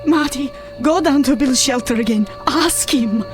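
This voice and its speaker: Very high-voiced anxious woman. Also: a little slow, faint music behind. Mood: anxious, accusing. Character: frightened, pleading suburban wife amid panicking neighbors. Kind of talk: radio drama acting.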